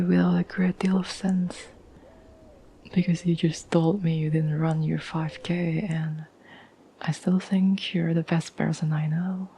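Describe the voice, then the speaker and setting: A woman playing a tender, devoted girlfriend in a whispered ASMR roleplay, speaking softly and gently with earnest, halting reassurance. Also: sits low, a little hiss or hum, full character voice, low-quality recording. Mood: loving, reassuring. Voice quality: soft, breathy whisper.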